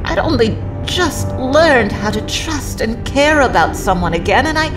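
A woman playing a guarded, remorseful former assassin in an audio drama, with halting, intense delivery. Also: a little high, lively intonation, over music, poor audio. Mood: tearful, confessing.

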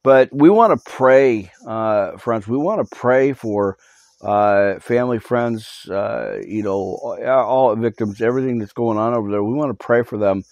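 A man pastor reading scripture and giving a live prayer update on his podcast, halting with many fillers and restarts, earnestly urging listeners to repent, recorded on a cell phone in a small home studio room. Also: mid-range voice, darkish tone, no room sound, muffled recording. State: recovering from losing his voice.

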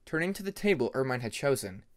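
Man narrating a story, lively pitch. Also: fast talker. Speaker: amateur audiobook narrator.

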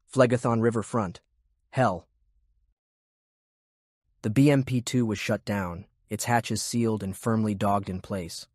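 Male audiobook narrator: low, slightly rough-edged husky voice, very dry close sound. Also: darkish tone, no room sound. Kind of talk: scripted story narration.

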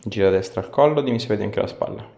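A man, a chiropractor giving a patient short, gentle instructions during treatment. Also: fairly low pitch, rapid-fire, creaky. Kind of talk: hands-on patient instructions, ASMR treatment video. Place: small indoor treatment room. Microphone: small clip-on mic on his scrubs. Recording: echoey room with background noise.

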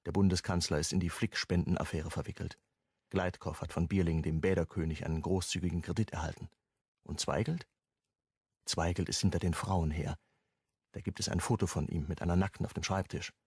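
A man's voice: low-pitched, steady tone, a little fast, darkish tone, slightly rough, no room sound, studio quality. A man narrating a scripted radio crime drama.

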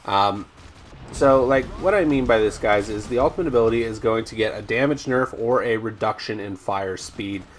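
A man commentating over his own gameplay, chatty and rambling with ums and restarts, his voice slightly raspy today. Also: fairly low pitch, normal speed, a little hiss or hum. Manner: rambling, frequent ums and restarts, addresses viewers as guys. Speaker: gaming YouTuber.

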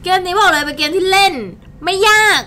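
High-pitched young woman casually hosting gameplay. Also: fairly even intonation, fairly quick, sharp tone, a little hiss or hum. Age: young adult. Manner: chatty and informal, exclaiming in play. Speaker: game channel host. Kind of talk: casual live gameplay commentary. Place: indoor streaming setup at a desk.

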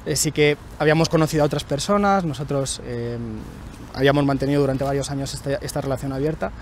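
Halting man from Madrid, seated. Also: mid-range voice, steady tone, a little hiss or hum. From Madrid, Spain. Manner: hesitant, many restarts and fillers. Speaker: interviewee. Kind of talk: relaxed personal account. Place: living room at home, indoors.

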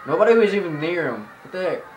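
Young male gamer, casual and silly, lively swoops in a higher voice, roomy sound with music behind. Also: fast, slight background noise. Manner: goofy, makes nonsense sounds and sing-song. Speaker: gaming video host. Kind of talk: casual playthrough commentary. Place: indoor room in front of a television. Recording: roomy, picked up near a TV playing music. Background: game music from the TV.

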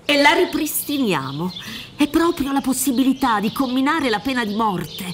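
A woman's voice: high voice, sing-song, normal speed, soft background music. An older woman acting in a radio drama as a worldly, theatrical defence lawyer, emphatic and admonishing. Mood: proud. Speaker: actor. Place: theatre stage set up for radio play. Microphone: suspended studio microphone with headphones.

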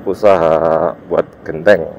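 Male motovlogger, East Javanese accent, congested from a cold. Very deep, informal riding commentary, muffled outdoor recording with faint road noise. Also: fairly even intonation, takes it slowly, a little mellow. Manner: informal, speaking in short loose phrases to viewers. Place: outdoors, riding a motorbike on village roads. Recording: dull muffled sound, motorbike ride noise. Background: vehicle and road noise.

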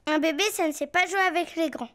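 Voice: very high voice, fairly even intonation, quick pace, a bit bright. A performer voicing a bossy, pouty little girl bear cub in a preschool cartoon, childlike and know-it-all. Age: child character. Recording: faint music behind the voice.

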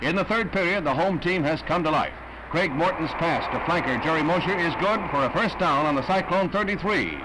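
A man's voice: a little high, fairly quick, noisy background. An American man narrating a 1960s newsreel in an emphatic, scripted announcer style. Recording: vintage 1960s newsreel soundtrack, loud music. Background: loud music.

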